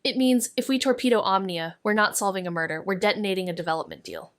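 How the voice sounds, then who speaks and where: A woman playing a measured, brooding amateur sleuth in a noir audio drama, with a dry, understated delivery. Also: mid-range voice, very animated, dry recording, lightly acted. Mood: troubled, measured.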